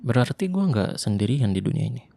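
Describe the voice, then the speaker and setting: A young man performing an ASMR roleplay monologue in casual slangy speech, tense and hushed at times, close to the mic. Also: mid-range voice, very animated, fairly quick, vocal fry, no room sound. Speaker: voice actor.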